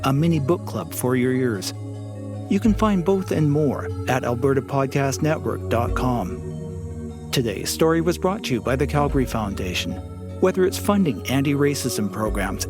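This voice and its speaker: A man reading scripted podcast promotions and sponsor messages as an announcer. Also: mid-range voice. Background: music.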